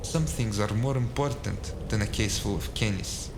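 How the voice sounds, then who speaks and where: A man's voice: on the low side, moderate pace, close to an everyday voice. Man as a curt underworld dealer, echoey. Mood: scornful. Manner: clipped, dismissive. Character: curt, secretive male underworld dealer, coolly defiant. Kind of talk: noir audio drama dialogue. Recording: echoey space, noisy ambience.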